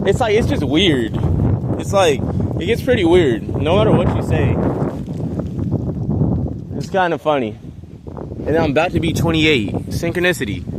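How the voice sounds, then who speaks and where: A man's voice: fairly high pitch, lively intonation, normal speed, long pauses, heavy background noise. A man, rambling restlessly in a vlog while out in a residential neighborhood, often trailing off. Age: 20s. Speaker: vlogger.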